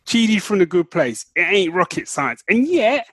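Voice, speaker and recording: Higher-voiced British man, joking around. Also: very animated, moderate pace, fairly bright tone, husky, dry recording, clean studio sound. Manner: playful, slangy, teasing. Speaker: podcast co-host. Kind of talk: casual jokey banter.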